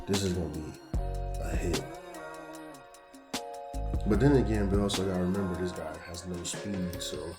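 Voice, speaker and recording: A young man with a strained, fading voice, giving casual slangy commentary over a football video game and getting frustrated with the plays. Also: low voice. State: losing his voice. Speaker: gaming YouTuber. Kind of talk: gameplay commentary. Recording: roomy echo with background music.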